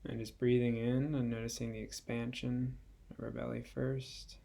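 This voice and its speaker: Man, guided meditation, creaky low-energy voice, relaxed tone, gentle, unhurried, spacious pauses. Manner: gentle, unhurried, with long pauses between phrases. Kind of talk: guided body scan meditation.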